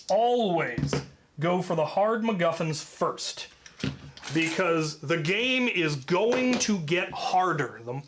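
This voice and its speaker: A man telling a story in a casual, rambling way as host, leaning deliberately on his key points. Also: high voice, a bit bright, a little hiss or hum.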